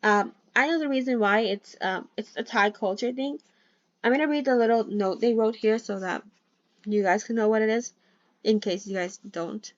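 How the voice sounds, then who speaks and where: A woman's voice: fairly high pitch, normal speed, no room sound. A woman who is not a native English speaker, reading a novel aloud in a casual read-along, chatting between passages and stumbling over words. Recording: dull, lo-fi dry mic.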